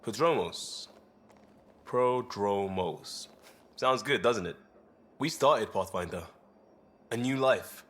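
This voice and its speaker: An easygoing crisis responder in a sci-fi video game, with a fairly low voice. He is earnest underneath, but talks casually and bluntly, with a wry edge. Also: lively intonation, takes it slowly, pauses often, a bit bright. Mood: earnest, hopeful. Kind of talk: video game cutscene dialogue.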